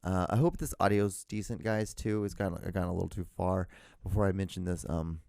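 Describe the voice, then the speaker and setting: Low-voiced loud guy rambling on his solo podcast, lots of ums and likes, dry close sound. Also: fairly even intonation, a little fast, creaky, no room sound. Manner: rambling, lots of fillers and restarts. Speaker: podcast host. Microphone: handheld digital recorder.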